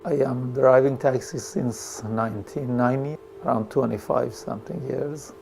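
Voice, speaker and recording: A middle-aged man from Iran, a taxi driver, talking haltingly with pauses and fillers in a documentary interview. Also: medium pitch, fairly even intonation, gravelly, a little mellow, faint music behind.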